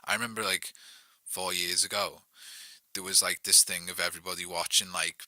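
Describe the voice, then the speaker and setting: A man's voice: low voice, flat delivery, normal speed, sharp tone, dry recording. A young man with a Liverpool accent, a songwriter guest talking loosely and casually, full of "like" and "you know what I mean". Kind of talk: casual chat.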